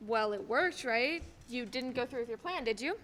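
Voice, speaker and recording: A woman's voice: a little high, expressive, normal speed, a bit bright. A young woman playing an eager, chatty, quaintly proper second-class guardian angel in a staged radio play. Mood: chatty, matter-of-fact. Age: teens to young adult. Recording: echoey hall, faint background noise.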